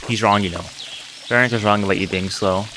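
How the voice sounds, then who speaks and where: A man voicing a humble old monk mentor in a video game, gentle, measured and fatherly. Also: lowish voice, fairly even intonation, background noise, lightly acted. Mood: reassuring. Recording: music under the voice.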